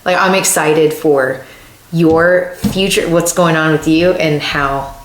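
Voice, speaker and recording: A woman co-hosting a podcast in casual chat, chatty and encouraging, quick to chime in. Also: deep voice, reverberant, slight background noise, poor audio. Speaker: podcast co-host.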